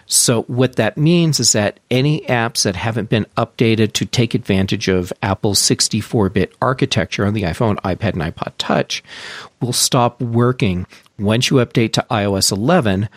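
A man's voice: lowish voice, normal speed, dry recording. A man hosting a casual podcast, chatting with his co-hosts and explaining news step by step. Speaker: podcast host. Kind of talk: casual tech podcast chat.

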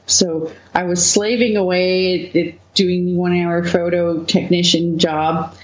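A woman from Manitoba, Canada, a webcomic co-founder as the guest, talking through her past slowly with frequent laughs and fillers. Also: on the low side, level tone, reverberant, lo-fi sound. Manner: slow, laughing often, many fillers. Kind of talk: relaxed interview conversation.

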